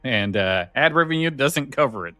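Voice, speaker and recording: Bright-voiced man hosting a casual podcast. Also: medium pitch, lively intonation, moderate pace, dry recording, clean studio sound, faint music behind. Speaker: podcast host. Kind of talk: casual chat, show sign-off.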